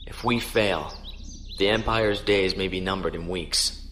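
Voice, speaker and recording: Low-voiced, courteous starship captain , acted by a man. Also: fairly bright tone, slight background noise. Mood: concerned. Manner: polite, measured, formal. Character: courteous, earnest male starship captain on a mission. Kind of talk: audio drama acting.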